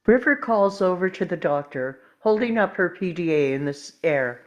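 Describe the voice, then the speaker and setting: A woman's voice: fairly low pitch, expressive, normal speed, highs cut off. A woman narrating screen directions from a script in the present tense at a table read. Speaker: stage directions reader.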